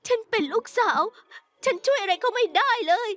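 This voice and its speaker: A woman's voice: very high-pitched, big pitch swings, brisk. An actress playing a distraught, insistent young woman in a dubbed TV melodrama, pleading and emotional. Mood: tearful pleading. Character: distraught, insistent young woman fighting for her father's inheritance.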